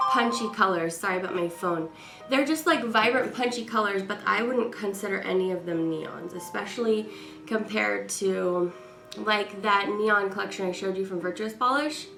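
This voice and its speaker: Stuffy-nosed woman with a gravelly voice. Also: medium pitch, fairly bright tone. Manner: chatty and rambling. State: stuffy, congested from a cold. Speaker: beauty vlogger. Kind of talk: casual product review. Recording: echoey room with faint music.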